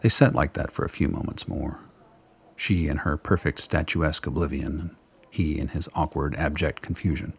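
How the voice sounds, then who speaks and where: A man narrating a radio play in a measured, dramatic, literary storytelling style. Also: a bass voice, fairly even intonation, vocal fry, breathy edge.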